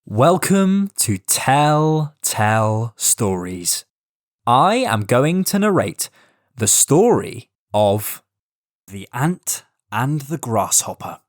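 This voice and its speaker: A storyteller narrating a scripted children's tale in an expressive, deliberate way. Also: mid-range voice, no echo, studio quality.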